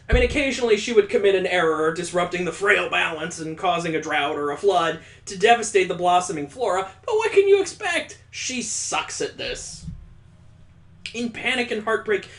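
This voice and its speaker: A man's voice: sits high, fairly bright tone. Sarcastic man reading a game aloud. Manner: wry, mocking asides between dramatic readings. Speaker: let's play host.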